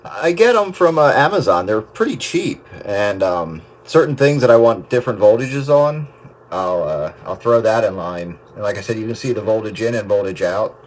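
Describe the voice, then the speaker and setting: A man's voice: lowish voice, expressive, moderate pace. A man chatting casually over a two-way radio with other operators. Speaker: radio operator in a group chat. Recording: hissy two-way radio transmission.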